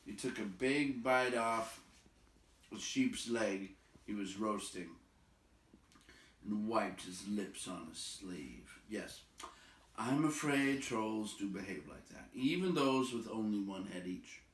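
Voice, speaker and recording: Slow, expressive man reading a storybook aloud. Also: on the low side, echoey room, far from the mic, low-quality recording. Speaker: storybook reader. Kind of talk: expressive read-aloud narration.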